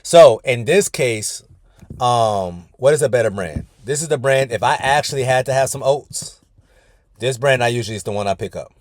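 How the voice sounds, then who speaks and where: A man's voice: medium pitch, expressive, moderate pace. A man coaching a weight-loss group on a video call from his car, talking casually and often calling the group "y'all". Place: inside his parked car.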